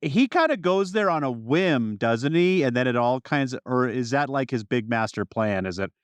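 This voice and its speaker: A man hosting a casual film podcast, chatting in an animated, excitable way. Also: medium pitch, normal speed, clean tone, dry recording. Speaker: podcast host.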